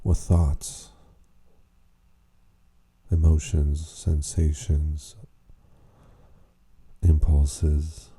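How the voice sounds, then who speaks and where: A man leading a guided meditation, speaking in an unhurried, gently questioning way with long pauses. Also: rumbling low, little pitch movement, slightly rough. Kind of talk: slow spoken guided meditation.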